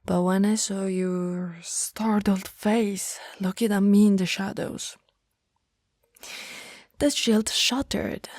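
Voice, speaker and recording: A woman playing a centuries-old vampire in an ASMR roleplay, formal and tender, speaking softly and breathily, often in a whisper. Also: medium pitch, dry recording, studio quality. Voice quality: soft, breathy. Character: centuries-old female vampire, lovestruck, remorseful, hungry, formal. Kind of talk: ASMR roleplay, slow intimate monologue.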